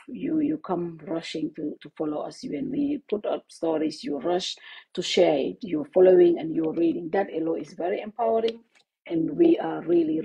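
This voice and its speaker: A woman with a low, husky, gravelly voice hosts a live stream from her office over a Bluetooth headset. Her tone is dark and mellow, and her speech is halting, full of fillers and restarts. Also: dull audio. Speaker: host of an online news network. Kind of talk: casual live-stream address to followers.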